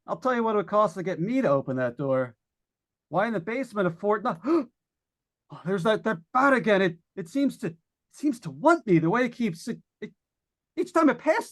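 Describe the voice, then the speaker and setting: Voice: mid-range voice, sing-song, moderate pace. Jittery vintage-radio announcer in a haunted house, acted: folksy, stammering, coming apart, widely varied intonation. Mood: rattled, frightened. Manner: folksy, stammering, addressing listeners at home. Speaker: radio drama actor. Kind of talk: old-time radio suspense acting.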